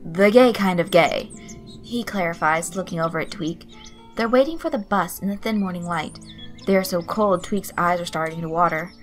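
A woman's voice: fairly low pitch, normal speed. Woman narrating a story over music. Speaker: fan fiction reader. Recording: soft music behind the voice. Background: guitar loop, night insect sounds.

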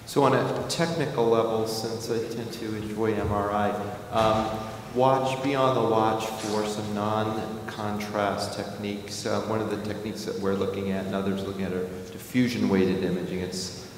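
A man moderating a medical panel, briskly calling on questions from the floor. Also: lowish voice, steady tone. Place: large conference ballroom. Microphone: podium microphone. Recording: far-off echoey hall, faint noise.

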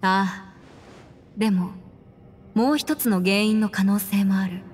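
A woman's voice: medium pitch, unhurried, lightly acted. An actress playing a confident, clever young woman in a video game, speaking in an assured, plain, slightly tomboyish manner. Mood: earnest. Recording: long hall reverb, music behind. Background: music.

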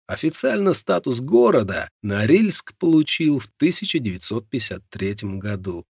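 A man reading a non-fiction audiobook in a husky, gravelly voice, with measured, expressive storytelling delivery. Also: medium pitch, no room sound, clean studio sound. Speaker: audiobook narrator.

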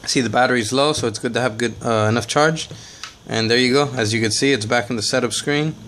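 A man's voice: medium pitch, a little fast, fairly bright tone, a little hiss or hum. A man hosting a casual tech tutorial, talking plainly step by step with frequent "uh" and "all right" fillers. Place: indoors at a table, off camera.

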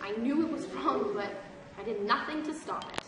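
A woman's voice: on the high side, normal speed, lightly acted. A teenage girl playing an earnest, protective rhyming schoolgirl friend in an amateur stage play. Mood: confessing. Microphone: distant camera microphone. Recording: echoey theatre stage, far off, noisy.

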